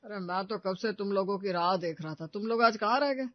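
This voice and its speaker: A man's voice: high voice, fairly quick, no echo, lo-fi sound, close to an everyday voice. A man playing a grumbling but indulgent middle-aged husband and father in a moral story. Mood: genial.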